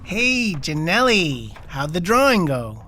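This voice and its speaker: An actress playing a dry, knowing coworker in an audio drama. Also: female voice, on the low side, sing-song, slow pace, sharp tone, slight background noise, heavily acted.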